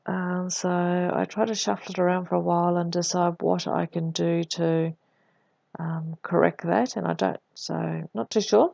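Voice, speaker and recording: A woman with a cold, her voice croaky, deep and husky, narrating her scrapbooking in a relaxed voice-over. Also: dry recording. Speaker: scrapbooking vlogger.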